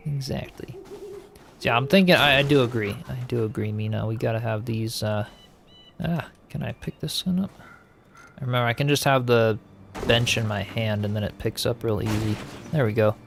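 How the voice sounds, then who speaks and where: A man's voice: mid-range voice, moderate pace, vocal fry, fairly bright tone, a little hiss or hum. A man casually chatting while playing a game and answering viewers, easygoing and musing, often trailing off. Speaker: game streamer. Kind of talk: casual gameplay chat, reading viewer comments.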